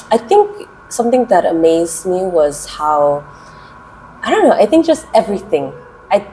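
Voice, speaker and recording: Chatty American woman, low and mellow. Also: a little hiss or hum, low-quality recording. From United States. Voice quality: loud voice held down. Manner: animated, chatty, drawn-out words. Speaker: interviewee, English teacher. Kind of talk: casual interview answers.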